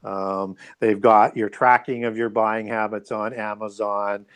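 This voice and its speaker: A man's voice: on the low side, flat delivery, unhurried, clear, resonant tone. A man speaking as an interview guest, explaining his views in a conversational, musing way.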